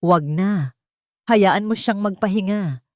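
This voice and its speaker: A woman's voice: medium pitch, slow, a little mellow, no room sound, clean studio sound, natural voice. A woman voicing a cold, controlling male billionaire in a curt, commanding manner for an audio romance story. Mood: curt, firm.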